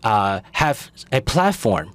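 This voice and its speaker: A man giving live interpretation of a conference speech, halting, with frequent fillers. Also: fairly low pitch, very animated. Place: conference hall.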